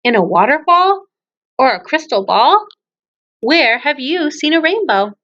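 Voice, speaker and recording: High-pitched woman, very animated, teacher reading aloud to kids, question-filled delivery, slightly dull lo-fi recording. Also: a little slow. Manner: addresses children with frequent questions. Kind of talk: read-aloud of children's poems with explanations.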